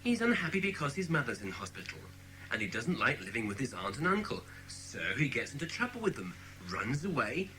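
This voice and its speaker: A man playing a sceptical, patient but condescending British father in a children's audiobook drama, speaking in a measured way. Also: medium pitch, very animated, pushed and bright, faint music behind, poor audio. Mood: dismissive.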